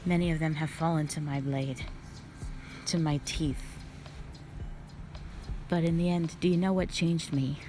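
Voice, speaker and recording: Ancient female dragon guardian for audio drama, played by a woman: low-pitched, unhurried, proud, stern yet composed, formal diction. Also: fairly even intonation, music in the background. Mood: solemn, reflective. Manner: formal, measured, stately. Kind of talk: scripted roleplay audio drama monologue.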